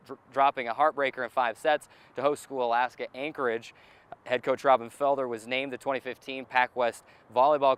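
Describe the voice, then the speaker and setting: A man's voice: medium pitch, fairly bright tone, smooth. A man hosting a sports show, presenting team updates in a scripted broadcast style outdoors at a stadium.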